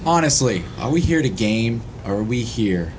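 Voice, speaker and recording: A man's voice: medium pitch, expressive, moderate pace. A man in casual banter on a podcast recorded live at a convention. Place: indoor convention recording before an audience. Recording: live convention room, loud crowd behind.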